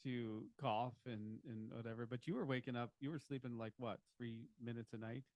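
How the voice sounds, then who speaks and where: A man's voice: mid-range voice, level tone, normal speed, no echo, dull audio. Man, podcast co-host, rambling, jokey, stops and restarts, dry close mic. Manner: rambling, restarts often, joking. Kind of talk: casual chat. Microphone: home podcast mic, video recorded.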